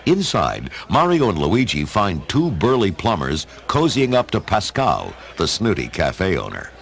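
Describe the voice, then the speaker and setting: Very deep man, theatrical storyteller, animated delivery, dramatic scripted narration. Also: unhurried, creaky, slight background noise. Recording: music under the narration. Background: music.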